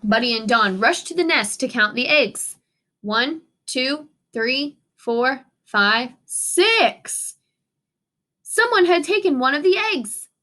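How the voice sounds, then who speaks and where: Teacher lady reading a kids book out loud, high voice, really sing-song up and down, dramatic emphasis. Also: lively intonation, slow pace. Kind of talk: expressive children's storybook read-aloud.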